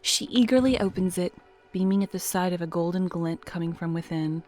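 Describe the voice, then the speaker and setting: A woman narrating an audio drama in a measured, dramatic storytelling manner. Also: on the low side, a little mellow, soft background music.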